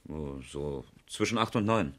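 Indignant hobby racer, deep register, colloquial, scoffing delivery, 1970s radio drama. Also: male voice, animated, unhurried. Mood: matter-of-fact recounting. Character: breezy, indignant male amateur rally driver and engineer. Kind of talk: 1970s German radio crime drama acting.

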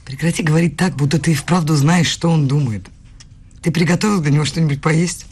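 A young woman student in a radio play, earnest and a little bossy. Her voice is husky and breathy, her delivery brisk and insistent. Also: deep voice, darkish tone. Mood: scolding, anxious. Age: young adult.

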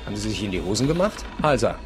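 A man's voice: lowish voice, big pitch swings, fast. A man playing a scornful, swaggering adventurer in a radio drama, speaking in a theatrical, mocking manner. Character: scornful, swaggering male adventurer out to expose rivals.

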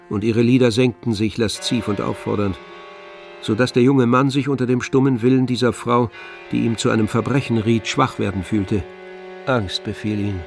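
A man's voice: mid-range voice, darkish tone. Male literary narrator over music. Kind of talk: scripted literary narration in a radio drama.

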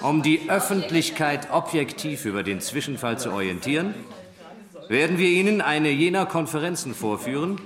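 Flustered press spokesman, highish swooping voice, keeps repeating himself, radio play. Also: expressive, moderate pace. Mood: official, insistent. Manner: stammering, repeating himself, placating. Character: flustered, evasive official spokesman facing angry reporters. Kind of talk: German radio play acting. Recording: loud crowd of voices behind.

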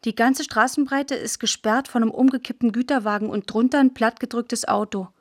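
A woman's voice: on the high side, fairly even intonation, a little fast, no echo, clean studio sound, natural voice. An actress playing a postwar schoolgirl who tells her story in plain, clipped first-person radio drama narration. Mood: brisk, observant. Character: matter-of-fact postwar schoolgirl telling her scavenging adventures.

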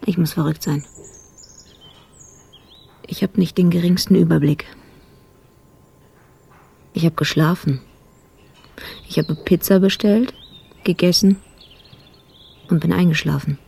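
Sober lady cop in a radio play, dark creaky voice, clipped report style. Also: low-pitched, full character voice. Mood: self-reproachful. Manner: clipped, factual, report-like delivery. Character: sober female police investigator recounting a murder case. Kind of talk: scripted radio drama narration.

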